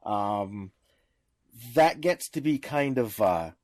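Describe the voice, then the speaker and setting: Guy with a lowish voice explaining stuff on a podcast, close and dry sound. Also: expressive, slow pace, darkish tone, dry recording. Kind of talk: casual explanatory discussion.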